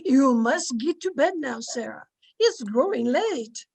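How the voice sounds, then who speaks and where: High-pitched, devoted old Scots servant woman, played by an actress, mysterious and firm, for radio drama. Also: expressive, unhurried, creaky, darkish tone, husky, full character voice. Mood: bossy. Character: devoted old Scottish maidservant, solemn and mysterious. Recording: home recording over video call.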